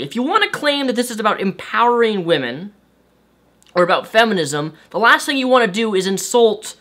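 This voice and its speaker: A young man with a light, high-pitched voice, animated and exasperated, ranting to camera from his bedroom. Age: young adult. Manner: animated, exasperated, waves hands. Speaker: video host. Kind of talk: ranting commentary vlog. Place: bedroom with shelves of toys and games.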